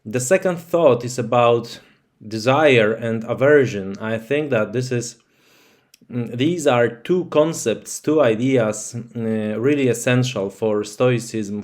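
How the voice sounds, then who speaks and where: A man's voice: medium pitch, a little mellow. A man whose first language is Polish, speaking English as a channel host to his viewers in a thoughtful, hesitant way with many fillers. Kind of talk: slow casual talk to camera.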